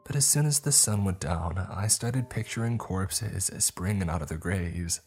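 A man's voice: low voice, unhurried, a little mellow, soft background music, pitched above the performer's own voice. A man voicing a jittery woman who is lost in a graveyard at night, nervous and apologetic. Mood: anxious.

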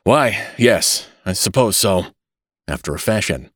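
A man voicing an irritable old family doctor in an audiobook, with a sharp, cutting, scolding manner. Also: deep voice, animated, measured pace, dry recording, studio quality, exaggerated voice. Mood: irritated. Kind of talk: audiobook character acting.

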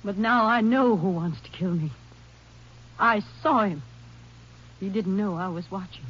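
An actress playing a frightened, distraught rancher's wife, pleading and suspicious, in an old-time radio western. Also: female voice, medium pitch, unhurried, close to an everyday voice. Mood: fearful accusing. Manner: overwrought, pleading delivery. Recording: muffled vintage radio recording.

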